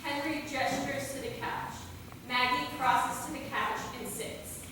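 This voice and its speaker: A woman's voice: high voice, steady tone, unhurried. A woman narrator reading scripted stage directions for a play reading. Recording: echoey hall, distant mic, clear noise.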